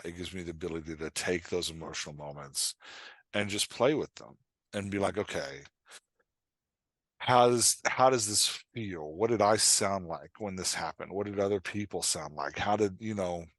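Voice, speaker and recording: A man's voice: deep voice, moderate pace, fairly bright tone, dry recording. A middle-aged man speaking candidly and conversationally as an interview guest, self-deprecating and acting out imagined remarks. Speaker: podcast guest, fiction writer and dialogue coach.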